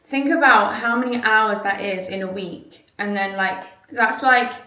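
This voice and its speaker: A woman's voice: mid-range voice, a little slow, echoey room, noisy background. A young woman, talking candidly and chattily to camera in a reflective vlog, often saying "like". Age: young adult. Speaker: vlogger.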